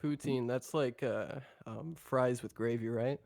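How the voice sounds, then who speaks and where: A man's voice: medium pitch, unhurried, gravelly, a little mellow, no echo, clean studio sound. A man from Minnesota with a mild Minnesotan accent, co-hosting a casual chat in a laid-back, self-deprecating way. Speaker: podcast co-host.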